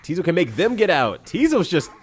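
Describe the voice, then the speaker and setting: A man commentating a video game with friends, reacting excitedly and laughing. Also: a little high, fairly quick. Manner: excitable, laughing, lively play-by-play. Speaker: let's play commentator. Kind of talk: casual gameplay commentary.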